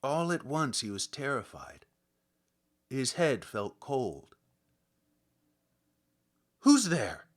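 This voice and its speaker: A man reading literary fiction as an audiobook narrator, in a measured, dramatic and expressive manner. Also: medium pitch.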